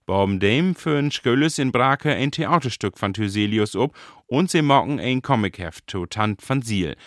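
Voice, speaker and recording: A man with a Northern German, Low German accent narrating a scripted radio feature as its reporter. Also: animated, moderate pace, a bit bright, dry recording, studio quality. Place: radio studio.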